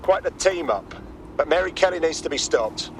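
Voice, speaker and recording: A resolute underground hacker, with a slightly high voice. He sounds wary, in the natural style of a video game cutscene. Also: expressive, normal speed, noisy background.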